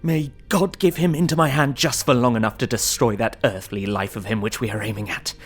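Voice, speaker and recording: A man's voice: mid-range voice, a little fast, slightly rough, close to an everyday voice. Guy playing a troubled devoted young husband, gothic audio drama diary, earnest n brooding, faint music behind. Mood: vengeful fury. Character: tormented, devoted young husband, vengeful Victorian diarist. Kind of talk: dramatized journal reading.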